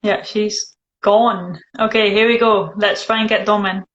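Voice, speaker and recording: A woman's voice: medium pitch, unhurried. Dutch woman with Scottish-tinged English, teasing. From Netherlands. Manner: relaxed, teasing, dry. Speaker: host of a live video chat. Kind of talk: casual chat. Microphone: phone. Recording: echoey room, muffled phone audio.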